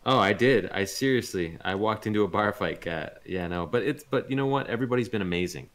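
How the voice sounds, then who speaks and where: A man chatting casually as a voice actor being interviewed, thoughtful and halting as he searches for words. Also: on the low side, expressive, fairly bright tone.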